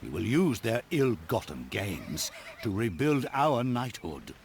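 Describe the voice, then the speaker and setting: Stern, low-voiced old knight commander. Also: male voice, sing-song, slow, a little hiss or hum, full character voice. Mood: commanding. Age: older. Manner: formal, authoritative. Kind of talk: video game cutscene acting.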